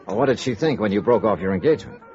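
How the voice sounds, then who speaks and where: A man's voice: on the low side, lively intonation, very fast, a little mellow, natural voice. A man playing a wry, hard-boiled insurance investigator in 1950s radio noir, clipped and probing. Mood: cool, probing. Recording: dull vintage radio recording, music.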